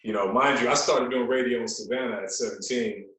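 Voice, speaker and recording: A very echoey, roomy recording of an American man in his forties talking as a podcast guest. His pitch sits in the middle range, and he tells stories casually, doubling back on words for emphasis. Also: fairly even intonation, a little fast, fairly bright tone. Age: 40s. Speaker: radio personality, podcast guest. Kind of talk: casual interview storytelling.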